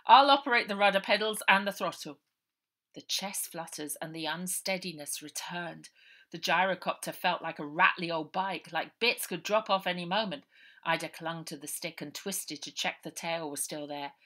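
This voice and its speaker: Bright-voiced English woman reading a story. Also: low-pitched, fairly even intonation, normal speed. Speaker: author reading own novel. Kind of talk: expressive children's story reading. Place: small room indoors at home.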